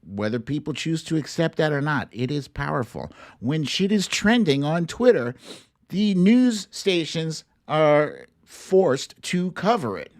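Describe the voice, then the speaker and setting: An animated man talks like a playful livestream host. His voice sits fairly high and swings widely in pitch, and he takes his time over the words in a loose, casual way. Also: a little slow. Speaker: host of an online show. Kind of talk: casual livestream chat, comic picture-book reading and political commentary.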